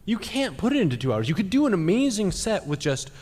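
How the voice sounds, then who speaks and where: A man's voice: sits high, sing-song, quick pace, darkish tone. A man explaining his points emphatically as a guest panelist in a live discussion, often checking in with the listeners. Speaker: guest panelist, game designer. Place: large indoor convention panel room. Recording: reverberant convention hall with background noise.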